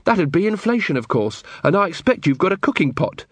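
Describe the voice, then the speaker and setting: A male narrator plays a young, unflappable watchman for a storybook. He speaks in measured, officious phrases with earnest politeness. His pitch sits high and moves freely, with a slightly husky grain. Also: very animated, no room sound. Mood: earnest, polite.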